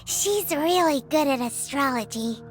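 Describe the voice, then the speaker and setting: A woman voicing a tiny childlike fairy companion in a video game, squeaky, cheeky and bluntly inquisitive. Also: lively intonation, measured pace. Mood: curious, blunt. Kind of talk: scripted video game dialogue. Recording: studio voice over playful music.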